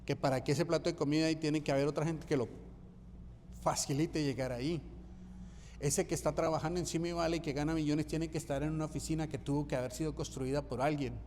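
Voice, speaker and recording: A man's voice: on the high side, steady tone, quick pace, darkish tone, long reverb tail, a little hiss or hum. A man from Colombia, preaching a sermon as pastor, emphatic and repeating key phrases for rhythm. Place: church sanctuary.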